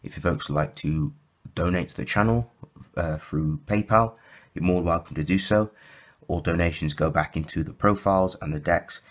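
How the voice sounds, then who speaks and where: A man with a slightly croaky voice from a sore throat, casually walking through a card game deck with lots of fillers and self-corrections. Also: low-pitched, moderate pace. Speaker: YouTube deck profile host. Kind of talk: casual explanatory walkthrough.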